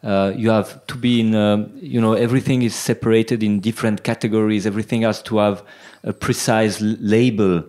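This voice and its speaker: A man's voice: on the low side, steady tone, a little fast, hardly any pauses, darkish tone. A man in his thirties from Switzerland, a band member speaking thoughtfully in an interview, hesitant and repeating words. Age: 30s. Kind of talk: casual interview chat.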